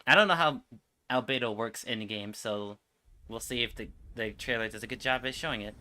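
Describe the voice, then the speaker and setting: A man's voice: medium pitch, a little fast, sharp tone. A man giving casual, opinionated reaction commentary as a streamer, with slangy, sweary speech. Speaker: streamer reacting to game trailers.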